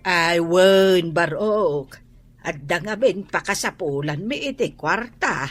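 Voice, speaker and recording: A woman's voice: lowish voice, expressive, slow. A woman with a Filipino accent playing a humble, grateful older village mother in an audio drama. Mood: worried, humble. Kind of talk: Ilocano audio drama acting.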